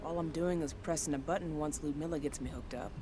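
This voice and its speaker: A woman's voice: deep voice, fast talker, over music, close to an everyday voice. An actress playing a modest, caring and practical female hacker in a video game, with an understated delivery. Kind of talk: video game cutscene acting.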